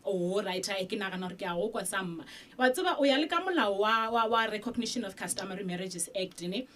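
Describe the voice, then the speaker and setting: A woman's voice: medium pitch, expressive, fast, a bit bright. A South African woman, a legal expert guest, explaining marriage law on a radio show with some hesitations.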